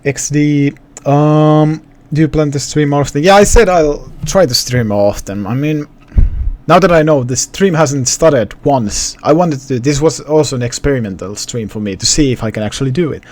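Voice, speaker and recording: A young man from Finland with a softened Finnish accent, chatting casually to his live-stream viewers with many restarts. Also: on the high side, moderate pace. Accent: Finnish, partly suppressed. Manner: rambling, restarts, talks to chat.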